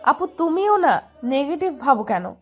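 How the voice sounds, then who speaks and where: A woman's voice: high-pitched, very animated, unhurried, soft background music. A woman playing a lovestruck, stubborn younger sister in a romantic audio drama, with a pouting, defiant manner.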